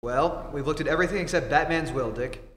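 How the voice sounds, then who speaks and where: Man acting a steady take-charge reporter, echoey hall. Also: fairly high pitch, music in the background, natural voice. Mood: investigating. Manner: brisk, authoritative, reassuring. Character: steady, take-charge adult male reporter, secret hero. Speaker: amateur theatre actor. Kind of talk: old-time radio acting. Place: theatre stage, live performance. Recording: echoey hall, faint noise.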